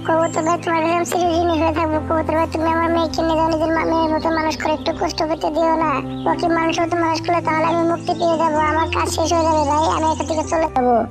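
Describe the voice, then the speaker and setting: Woman as a high-pitched pleading ghost mother bird. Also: completely flat delivery, rapid-fire. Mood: grateful, resigned. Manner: pleading, earnest, repetitive endearments. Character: grieving devoted mother bird turned ghost. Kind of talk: Bengali cartoon voice acting. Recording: music bed behind the voice.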